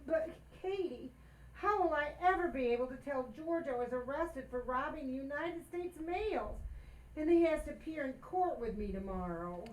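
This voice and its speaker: A woman plays a radio-comedy housewife with a very high voice. She is flustered, defiant and emphatic, explaining herself with exasperation and quick sarcasm. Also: animated, a little mellow, rough recording. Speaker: community theater actor. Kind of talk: old-time radio comedy acting.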